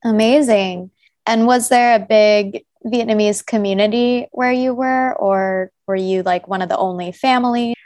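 A woman's voice: mid-range voice, steady tone, unhurried, a little mellow. A woman hosting an interview show in a casual, enthusiastic, conversational way. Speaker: podcast host and interviewer.

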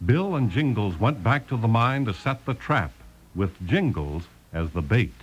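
A man's voice: medium pitch, very animated, moderate pace, highs cut off, background noise. A man narrating an old radio western serial in scripted announcer style. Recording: old radio broadcast recording. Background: music.

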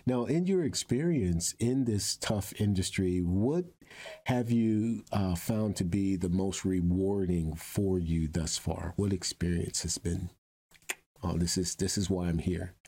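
Deep, husky, mellow male interviewer. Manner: encouraging, complimentary, deliberate. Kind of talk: conversational interview.

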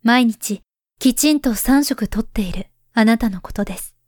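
A woman voicing a hushed, grave letter-writer. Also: a little high, moderate pace, dry recording, clean studio sound, natural voice. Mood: earnest. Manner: soft, hushed, often near a whisper. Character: grown woman writing to her past self, grave and pleading. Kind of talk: voice drama letter monologue.

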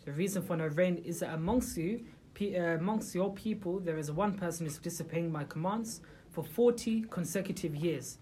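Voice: mid-range voice, normal speed, few pauses, dark tone, slight background noise. A speaker giving an informal religious talk to a small gathering in a room, asking the listeners rhetorical questions and often restarting sentences. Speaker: religious talk leader for a group.

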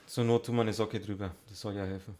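Fairly low-voiced, laid-back young man. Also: fairly even intonation, fast talker, a little mellow. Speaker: podcast co-host. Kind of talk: casual chat.

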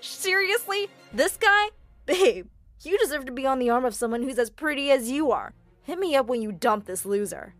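A woman's voice: a little high, expressive, normal speed, fairly bright tone, dry recording, soft background music. A woman doing a smarmy, pushy young guy. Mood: mocking.

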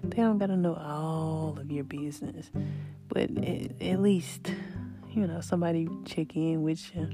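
A woman hosting a spiritual podcast in a casual, rambling way with a deep voice that is still recovering from an illness. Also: creaky. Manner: rambling, faith-filled, soft laughs. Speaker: podcast host.

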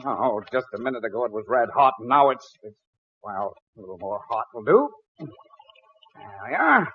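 A man's voice: mid-range voice, sing-song, moderate pace, slightly rough. An American actor playing a fussy, blustery middle-aged father in 1940s radio comedy, sputtering and theatrical. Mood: muttering, fussy. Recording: thin, tinny 1940s radio broadcast.

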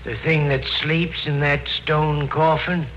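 A man's voice: a little high, little pitch movement, slow pace. An actor playing a folksy, foreboding rustic local man in an old-time radio horror drama. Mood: ominous, foreboding. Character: ominous rustic local man warning trespassers. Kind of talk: 1940s-50s radio horror drama acting. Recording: muffled old-time radio recording with hiss.

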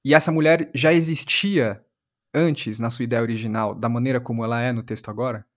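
A man's voice: mid-range voice, fairly even intonation, brisk. A Brazilian man interviewing on a podcast in a conversational way, thoughtfully building long questions step by step.

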